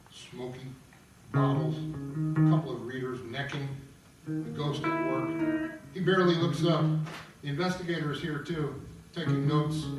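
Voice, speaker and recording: Echoey, distant man presenting a stage work. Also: medium pitch. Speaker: composer presenting his opera. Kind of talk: informal table read introduction with read-out stage directions. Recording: echoey room, picked up from far.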